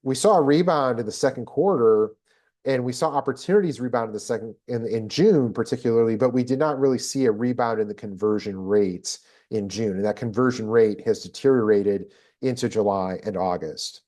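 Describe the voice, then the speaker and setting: A man hosting a business data presentation, explaining figures in a measured, analytical way. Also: mid-range voice, darkish tone. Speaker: host presenting a job market report.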